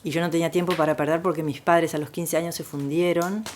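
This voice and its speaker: A woman's voice: sits low, fairly even intonation, fast, slight background noise. A Uruguayan woman in her sixties with a Uruguayan Spanish accent, telling her life story in an interview, with frequent "este" fillers. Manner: frequent "este" fillers, easygoing rural warmth. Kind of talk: relaxed personal storytelling.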